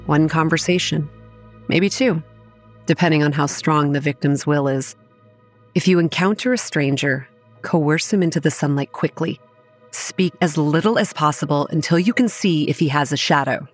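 Young female narrator for audio horror: low register, grim, measured, over faint music. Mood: grave, measured. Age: young adult. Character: young woman campground manager, grimly recounting childhood horrors. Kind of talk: scripted first-person horror narration. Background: soft music.

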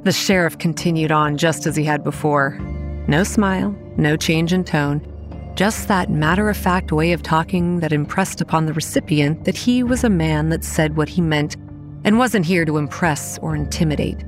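An actress playing a young campground manager who narrates a horror audio drama in a wry, dry, understated way. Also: female voice, deep voice, moderate pace, faint music behind, lightly acted. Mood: wry narrating. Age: young adult.

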